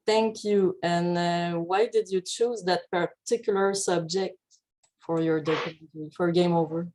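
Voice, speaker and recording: A woman's voice: on the low side, fairly even intonation, measured pace, darkish tone. A woman interviewer asking brief, encouraging questions at a film festival.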